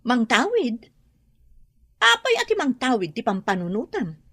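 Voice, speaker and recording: A woman's voice: a little high, huge pitch swings, measured pace, a bit bright, no room sound, studio quality, full character voice. A woman playing a wealthy, haughty and melancholy employer in an Ilocano radio drama, with an Ilocano accent. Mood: uneasy.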